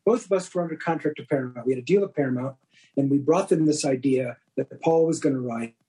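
A man's voice: mid-range voice, fast, mellow, rough recording. An older man, a director being interviewed, explaining past events in a conversational style. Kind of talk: explanatory anecdotal chat.

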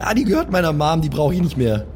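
A man's voice: on the high side, huge pitch swings, quick pace, darkish tone, a little hiss or hum, pitched above the performer's own voice. A man voicing a brash, irritable young woman with a blunt, slangy manner in an improvised role-playing game. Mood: dismissive.

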